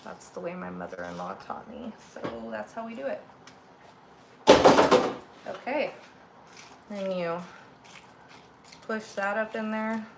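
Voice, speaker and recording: Slow, raspy woman chatting while cooking. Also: medium pitch, expressive. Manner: chatty, rambling, narrates each step while cooking. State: a little sick. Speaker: cooking livestream host. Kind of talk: casual cooking livestream chat. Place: home kitchen. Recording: noisy home kitchen recording.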